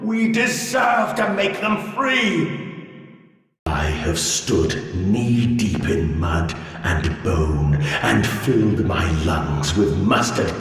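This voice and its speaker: Grandiose machine god in a cavernous echo. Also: male voice, mid-range voice, a bit bright. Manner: theatrical, oratorical delivery, swinging from coaxing to ranting. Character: grandiose sentient machine god, pleading, menacing, male-voiced. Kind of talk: dramatic video game monologue. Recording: cavernous echoing hall, distant voice.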